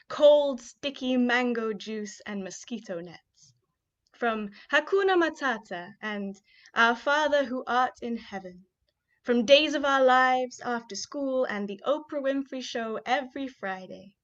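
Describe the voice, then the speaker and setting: A woman's voice: on the high side, lively intonation, a little slow, fairly bright tone. A young woman from Kenya hosting an online poetry showcase and reading her own spoken-word poem, chatty and upbeat with fillers between remarks. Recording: dull, muffled live-stream audio.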